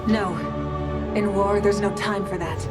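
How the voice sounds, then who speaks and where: A confident warrior queen of a video game played by a woman speaks with formal, measured resolve. Her voice sits at a middling pitch, with music clearly playing behind it. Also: fairly even intonation, close to an everyday voice. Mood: resolute, composed. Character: noble, confident female warrior leader.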